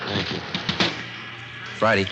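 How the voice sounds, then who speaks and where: An actor playing a stern, deadpan American police sergeant in a 1950s radio drama, narrating in terse, matter-of-fact lines. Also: male voice, takes it slowly, loud music behind the voice, lightly acted, poor audio. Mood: businesslike. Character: no-nonsense male police detective sergeant, stern and dry. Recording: vintage radio drama recording.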